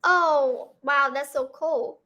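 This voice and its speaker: A woman's voice: sits high, slow pace, pushed and bright. A young woman from Vietnam with a Vietnamese accent, practicing English over a video call and speaking hesitantly with many fillers. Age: young adult. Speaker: English learner. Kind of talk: conversation practice.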